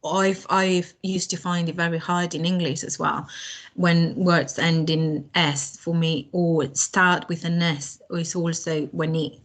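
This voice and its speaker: Guest voice: young woman, unhurried, lowish voice, halting speech full of ums. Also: level tone. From Spain. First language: Spanish. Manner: hesitant, many fillers and restarts. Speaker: language student guest. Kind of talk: casual podcast conversation.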